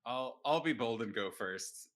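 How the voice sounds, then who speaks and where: A man's voice: medium pitch, expressive, measured pace, fairly bright tone. A man chatting casually as a player in a tabletop game, with a wry, joking manner. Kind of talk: casual chat.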